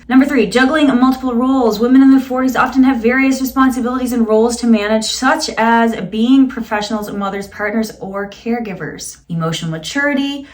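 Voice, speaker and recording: A woman in her late thirties, a vlogger who reads search results aloud and pokes fun at them with dry, sarcastic asides. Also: a little high. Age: 30s. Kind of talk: casual vlog reading and commenting.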